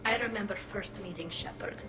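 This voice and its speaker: A woman's voice: medium pitch, a little fast, echoey room, music in the background, low-quality recording. An actress playing a chatty, playful young alien woman in a video game, heard through a helmet filter. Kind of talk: video game character acting.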